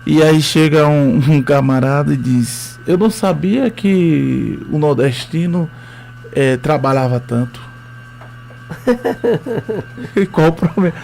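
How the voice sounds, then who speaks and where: A man's voice: fairly high pitch, lively intonation, slow pace, vocal fry, pauses often, darkish tone, a little hiss or hum. A man with a Northeastern Brazilian accent, a history teacher telling his story as a radio interview guest, hesitant with many fillers.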